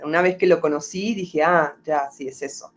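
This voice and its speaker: A woman from Argentina with an Argentine Spanish accent softened by years in Mexico, a music therapist giving thoughtful interview answers, often searching for words and ending phrases with a questioning tag. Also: low-pitched, moderate pace. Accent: Argentine Spanish, softened toward Mexican. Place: home in Mexico.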